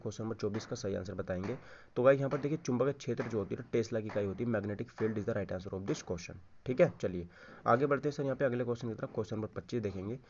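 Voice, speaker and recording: The speaker is a man with a fairly low-pitched voice. He teaches in an informal exam-coaching style, repeating answers and often checking that listeners follow. He switches between Hindi and English terms, and the recording sounds dull and lo-fi. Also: a little mellow, slight background noise. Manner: repeats key answers, frequent check-in tags, addresses listeners directly. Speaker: exam-prep physics teacher. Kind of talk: informal lesson, going through quiz questions.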